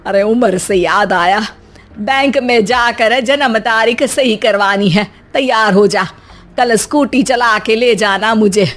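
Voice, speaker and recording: A woman's voice: fairly high pitch, measured pace, a bit bright. A woman voicing a grumbling, sarcastic middle-aged mother-in-law in a comic family story. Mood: brisk, teasing. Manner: exasperated, sarcastic.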